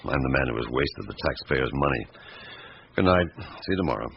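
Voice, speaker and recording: Weary male detective, deep register, measured and dry, radio drama with audible hiss. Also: expressive, creaky, exaggerated voice. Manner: probing, measured questioning with dry retorts. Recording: muffled, hissy radio drama recording.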